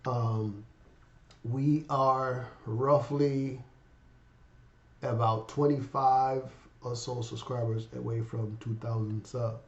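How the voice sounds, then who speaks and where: A man in his fifties with a cold and a raspy voice, hosting a casual finance vlog and rambling to viewers with lots of fillers. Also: medium pitch, steady tone, slow. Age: 50s. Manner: rambling, many fillers, addresses viewers as guys. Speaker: finance channel host. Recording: echoey room, dull lo-fi sound.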